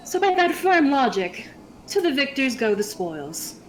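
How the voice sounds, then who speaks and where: Woman playing a haughty, high-voiced villain's minion. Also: sing-song, a little slow, a little hiss or hum, poor audio. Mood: haughty, admiring. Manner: formal, persuasive, disdainful. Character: loyal, haughty female minion of a vampire lord. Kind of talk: scripted ASMR roleplay monologue.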